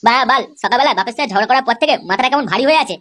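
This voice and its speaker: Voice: medium pitch, rapid-fire, heavy background noise, natural voice. A performer voicing a cocky, foul-mouthed young village lad in a comic cartoon, brash and boastful. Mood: belligerent.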